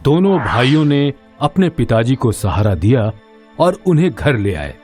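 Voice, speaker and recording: A male narrator reads a children's tale expressively. His mid-range voice moves with plenty of melody at a slow, deliberate pace. Music plays under the narration. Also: medium pitch, big pitch swings, a little mellow, breathy edge. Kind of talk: scripted children's story narration.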